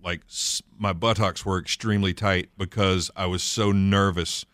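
Low smooth-voiced male radio host. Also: fairly even intonation, normal speed, fairly bright tone, no echo. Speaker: radio morning show host. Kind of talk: casual radio chat.

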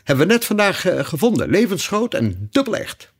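A man's voice: high voice, wildly swooping pitch, fairly quick, slightly rough, pitched above the performer's own voice, full character voice. A man voicing an enthusiastic, ceremonious hare in a storybook. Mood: eager, proud. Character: eager, solemn male hare, a royal messenger.

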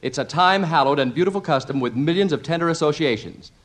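An actor playing an excitable, exasperated American husband who stammers and exclaims, in 1950s radio comedy style. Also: male voice, a little high, fast talker. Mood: weary, insistent. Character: excitable, exasperated husband and father moving house. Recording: noisy vintage 1950s radio recording.

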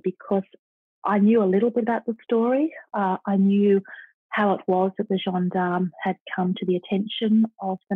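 A woman's voice: mid-range voice, slightly rough, dry recording. A middle-aged Australian woman author, talking thoughtfully in an interview and stopping and restarting as she goes. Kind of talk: interview and reading aloud. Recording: dry, phone-like line.

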